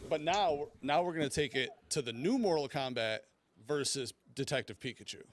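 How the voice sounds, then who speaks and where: A man's voice: a little high, lively intonation, normal speed. A man hosting a podcast in a casual, rambling and informal way as he debates movies. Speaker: podcast host.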